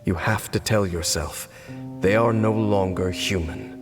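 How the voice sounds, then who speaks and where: An actor playing a grave, sardonic, centuries-old cursed knight in a video game, speaking in measured phrases. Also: male voice, sits low. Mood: bitter, cynical. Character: world-weary cynical cursed knight, centuries old, male. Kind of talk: video game cutscene voice acting. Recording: reverberant hall sound with music behind. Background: music.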